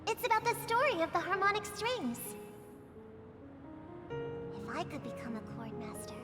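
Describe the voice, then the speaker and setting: A woman's voice: very high voice, sing-song, normal speed, loud music behind the voice. A woman voicing a sweet, hopeful little girl in a game, innocent and earnest. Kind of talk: scripted game voice acting.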